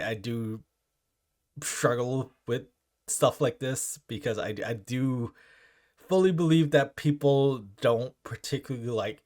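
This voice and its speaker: A young man with a deep voice chatting casually while opening gifts. He stammers and restarts his words often. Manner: stammering, repeats words, halting. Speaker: Minecraft content creator.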